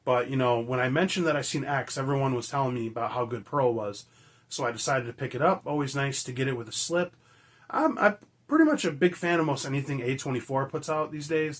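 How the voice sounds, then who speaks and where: A raspy man, hoarse from being sick, from Michigan, chatting enthusiastically and rambling as a movie-collecting vlogger. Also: medium pitch. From Michigan, USA. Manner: enthusiastic, rambling, frequent fillers and mild swearing. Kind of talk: casual chat to camera.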